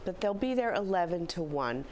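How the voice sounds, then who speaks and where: A middle-aged woman, a philanthropy director, slightly hoarse, giving upbeat, chatty announcements in a studio interview. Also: on the low side, sing-song, normal speed, darkish tone. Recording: faint background noise.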